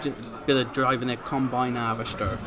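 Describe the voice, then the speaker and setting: A man's voice: medium pitch, little pitch movement, moderate pace, a loud crowd. A man from Wales with a Cardiff accent, co-commentating a card game stream in casual chat, often restarting his words. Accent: Welsh, Cardiff. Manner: chatty, restarts and stammers.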